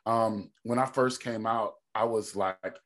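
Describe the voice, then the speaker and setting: A man in his thirties from Texas with a deep voice, a podcast guest telling his story in a reflective way and thinking aloud with many ums. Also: fairly even intonation, unhurried, fairly bright tone.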